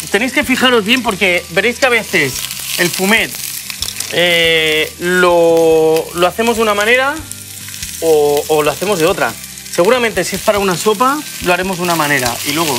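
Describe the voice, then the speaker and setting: A middle-aged man with a Spanish accent, a chef co-hosting a TV cooking show, explaining cooking steps in a studio kitchen. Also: high voice. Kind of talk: TV cooking demonstration.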